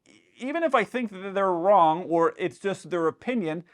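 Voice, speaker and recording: A man's voice: high-pitched, animated, moderate pace. A man who is a pilot and host explains things in casual commentary, conversationally acting out imagined dialogue as he goes.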